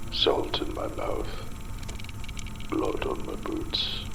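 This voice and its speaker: Casting: broken, exhausted dad on the edge of madness, horror audio drama. Extremely low, heavily creaking half-whisper, halting delivery. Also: male voice, background noise, heavily acted. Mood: weary, dazed. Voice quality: gravelly, breathy half-whisper. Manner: halting, broken phrases with heavy pauses. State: exhausted, parched. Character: haunted, splicing-mad father fleeing a ruined undersea city.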